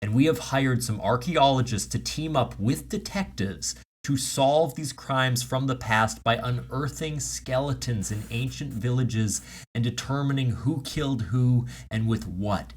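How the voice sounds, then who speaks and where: A man's voice: mid-range voice, unhurried. A man co-hosting a comedy podcast, chatting in casual banter. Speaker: podcast co-host.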